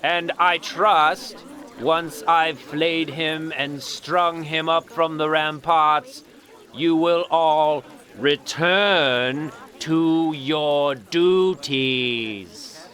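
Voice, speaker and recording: An actor playing a pompous, cruel usurping lord in a fantasy audio drama, stammering when flustered. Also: male voice, fairly high pitch, steady tone, very slow, pushed and bright, exaggerated voice. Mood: menacing, smug.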